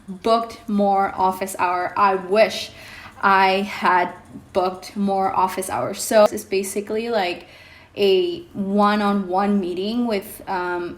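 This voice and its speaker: A young woman vlogger whose first language is Indonesian, talking casually to her viewers with many pauses and ums. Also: mid-range voice. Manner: hesitant, many ums, reflective. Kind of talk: casual vlog talk to camera. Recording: echoey room with faint music.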